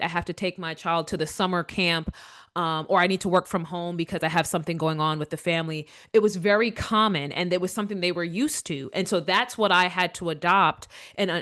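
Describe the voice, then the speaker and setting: A woman's voice: lowish voice, a bit bright. Earnest woman, podcast guest. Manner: earnest, restarts often, repeats words. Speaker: guest, leadership coach. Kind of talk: casual interview chat.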